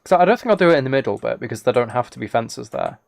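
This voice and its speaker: A man's voice: mid-range voice, expressive, very fast, creaky. Man chatting casually on a live game stream. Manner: rambling, thinking aloud, chatting with viewers. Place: indoors at a computer, keyboard clicks behind.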